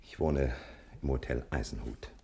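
A man playing an ingratiating, secretive private detective who hems and flatters confidentially, in 1960 German radio comedy style. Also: very deep voice, normal speed. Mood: flattering, instructing. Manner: confidential, flattering, hesitant hemming.

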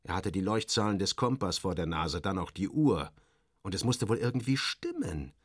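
Male narrator for satirical fiction: lowish voice, wry, expressive, clipped phrasing, very dry studio sound. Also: normal speed, no echo. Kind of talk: first-person literary narration, satirical.

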